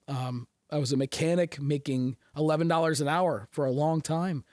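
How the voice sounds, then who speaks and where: A slightly hoarse man hosting a show, talking candidly in a rambling casual monologue full of fillers and restarts. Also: fairly high pitch, dry recording, studio quality. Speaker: host.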